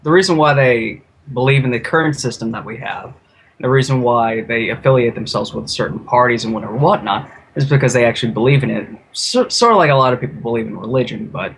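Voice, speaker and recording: A man's voice: medium pitch, brisk, a bit bright. A man chatting casually as a guest on a talk show, giving his opinions informally. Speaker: listener guest. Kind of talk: casual chat. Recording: faint background noise.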